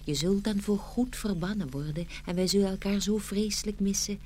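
A woman playing a gracious, gentle fairy of paradise in a children's fairy-tale radio play, measured and tender. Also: mid-range voice, a little hiss or hum, natural voice. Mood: solemn, tender.

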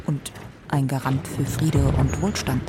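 An actress playing an emphatic, rhetorical lecturer in a scripted theatrical satire. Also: female voice, a bass voice, fairly even intonation, unhurried. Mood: confident, boastful. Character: brisk female lecturer praising empire, in satirical revue. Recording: clearly noisy, with clicks.

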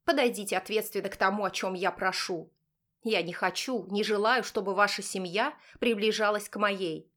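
A woman's voice: medium pitch, moderate pace, a bit bright, lightly acted. A woman voicing a haughty, cutting, imperious mother guarding her son in an audiobook drama. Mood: scornful, demanding. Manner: cutting, imperious, speaks through clenched teeth.

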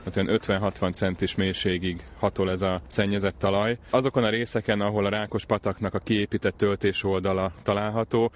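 Low, even-toned man explaining. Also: hardly any pauses, slight background noise. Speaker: nature conservation specialist, interviewee. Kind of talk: explanatory field interview. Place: outdoors in a wetland reserve.

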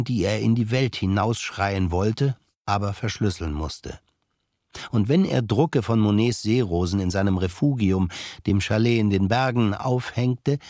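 An actor narrating a crime story in a radio play, with deliberate, reflective, literary delivery. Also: male voice, on the low side, a little mellow, no echo, clean studio sound.

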